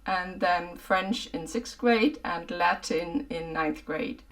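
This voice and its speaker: A woman with a German accent, narrating her language learning in a casual vlog, in a clear, measured way. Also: lowish voice, fairly bright tone, recorded from a distance. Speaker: vlogger.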